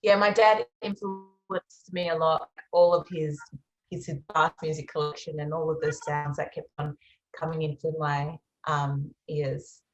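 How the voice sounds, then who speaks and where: A woman's voice: sits low, steady tone, unhurried, roomy sound, lo-fi sound. A young Australian woman, a musician, answering interview questions in a reflective, earnest way. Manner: reflective and earnest, with soft fillers. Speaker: musician guest.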